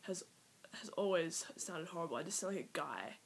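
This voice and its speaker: A woman's voice: on the low side, lively intonation, normal speed, gravelly, husky. A nineteen-year-old Australian woman talking nervously to her camera in a first personal vlog, rambling with many fillers and sometimes trailing into a near-whisper. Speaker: vlogger. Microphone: iPad built-in mic.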